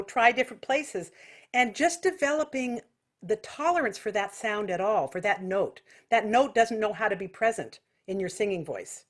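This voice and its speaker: A woman giving an informal singing lesson as a vocal technique teacher, explaining things with vivid imagery. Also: fairly high pitch.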